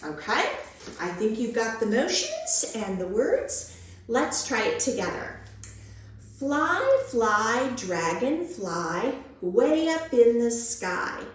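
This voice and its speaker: Female children's storyteller, higher-pitched, very animated and sing-song, measured phrasing, in an echoey room. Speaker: children's librarian leading storytime. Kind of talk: read-aloud picture book storytelling and action rhymes for children.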